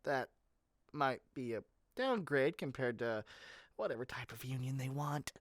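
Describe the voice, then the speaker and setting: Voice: medium pitch, expressive, a little slow, dry recording, natural voice. A performer playing an eager, shady, frazzled salesperson in a scripted audio roleplay, chatty and sardonic with theatrical rambling asides. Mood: brisk, dismissive. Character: eager, shady, frazzled yandere matchmaking salesperson, cynical and fast-talking. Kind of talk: scripted audio roleplay monologue to one listener.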